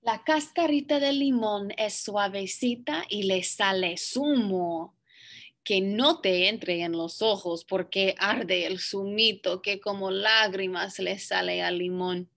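A young woman reading a picture book aloud to children, expressive and deliberate in her phrasing. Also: mid-range voice, gravelly. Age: young adult. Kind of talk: children's story read-aloud with brief asides. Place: small indoor classroom.

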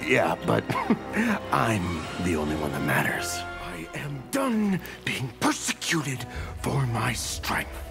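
Arrogant superhero with creaky, swooping delivery. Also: male voice, medium pitch, wildly swooping pitch, heavily acted. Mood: smug. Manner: mocking, condescending, drawn-out delivery. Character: arrogant, menacing male superhero with a god complex. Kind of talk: fighting game character dialogue. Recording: game music behind the voice.

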